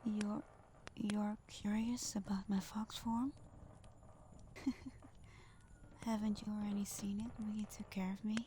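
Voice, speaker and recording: Lady doing a shy kitsune girl asmr thing, soft n hesitant, really even pitch, bit of background noise. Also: unhurried, pauses often, natural voice. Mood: gently teasing. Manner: soft-spoken, hesitant, tender, breathy half-whisper. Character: shy, gentle young female kitsune fox spirit rescuer. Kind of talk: whispered ASMR roleplay. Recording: faint background noise and ambience.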